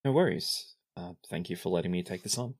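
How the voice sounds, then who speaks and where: A man's voice: deep voice, expressive, fairly quick, voice pushed down. A man voicing a helpful, earnest male organizer in a casual, slightly tentative way. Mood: agreeable.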